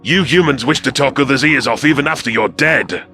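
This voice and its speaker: A scrawny predator alien from an audio space story, hissing and sneering. The voice is sharp and forceful, pushed above the narrator's own, full of scorn for softer creatures. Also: male voice, medium pitch, a little fast, pitched above the performer's own voice. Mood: scornful. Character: scrawny scornful male reptilian predator alien, bigoted advisor.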